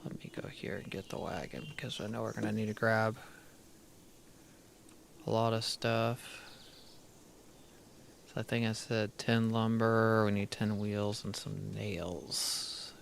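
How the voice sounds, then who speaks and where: A man's voice: fairly low pitch, fairly even intonation, slow, gravelly, long pauses. A man streaming a game live, thinking aloud as he plays, half-muttering his plans, with a crackly voice from a dry throat. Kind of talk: casual live gameplay commentary.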